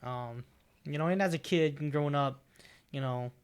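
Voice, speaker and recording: A man in his thirties speaking as a podcast guest in a thoughtful, hesitant way, pausing often with "uh" and "um". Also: fairly high pitch, clean tone. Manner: thoughtful, hesitant, many "uh" and "um" pauses. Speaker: podcast guest, barbecue food truck owner. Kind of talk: casual podcast chat.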